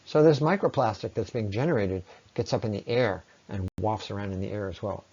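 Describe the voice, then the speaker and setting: A man's voice: lowish voice, fairly quick, darkish tone. Man lecturing on a dull, lo-fi recording. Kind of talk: explanatory slide presentation.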